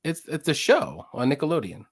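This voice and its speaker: A man in his late thirties hosting a casual live stream, chatty and quick to greet viewers by name. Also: a little high, wildly swooping pitch, creaky, slightly rough. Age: 30s. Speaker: host of a betting picks show.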